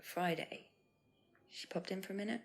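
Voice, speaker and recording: A woman's voice: mid-range voice, normal speed, creaky, close to an everyday voice. An actress playing a guarded, curt pub landlady in a filmed mystery game. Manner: guarded, evasive.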